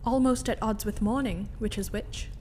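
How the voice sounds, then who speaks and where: Casting: female actor as scornful, commanding tragic queen, fairly high voice, imperious delivery, slight background noise. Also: normal speed, natural voice. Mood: controlled. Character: commanding, scornful queen covering for her husband. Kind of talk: dramatic reading of Shakespearean tragedy.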